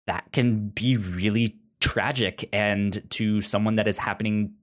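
A podcast co-host talks in a casual, conversational way. The speech stammers, often repeating sounds and whole words before moving on. The pitch sits in a middle range. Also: fairly even intonation, a little slow. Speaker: podcast co-host reviewing audio drama. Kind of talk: casual chat.

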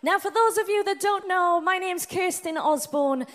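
A singer from South Wales with a Welsh accent, chatting and laughing with a live audience between songs. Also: female voice, very high-pitched, normal speed, fairly bright tone. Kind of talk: live stage patter and singing. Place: live venue with a band. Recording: live music behind. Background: music.

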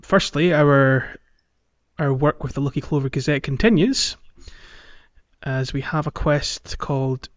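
A man's voice: on the high side, unhurried, highs cut off. A man hosting a casual podcast chat about a video game, often repeating and restarting words as he explains. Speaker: podcast host. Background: music.

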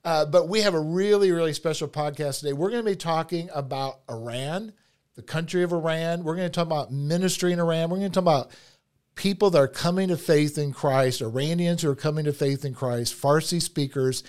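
Middle-aged male podcast host, upbeat and joking, clear resonant tone. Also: on the high side, brisk, a little mellow. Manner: enthusiastic, jokey. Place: office.

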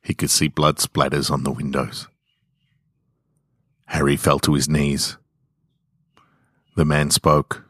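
Male story narrator: low register, unhurried measured delivery, dramatic scripted prose, very dry sound. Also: little pitch movement, a bit bright, slightly rough, dry recording. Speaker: podcast host reading short stories.

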